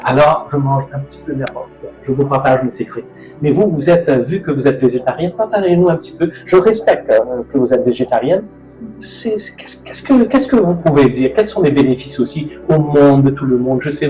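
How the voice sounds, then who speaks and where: A man's voice: medium pitch, a little fast. Rambling middle-aged man in an echoey room. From Mauritius. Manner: rambling, loose, self-correcting. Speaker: host. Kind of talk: casual talk on nutrition. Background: soft music.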